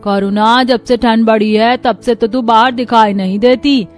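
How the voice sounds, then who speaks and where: A woman's voice: on the high side, fairly even intonation, unhurried, close to an everyday voice. A woman voicing a friendly, chatty middle-aged neighbour in a cartoon story, with faint music behind. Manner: chatty, praising.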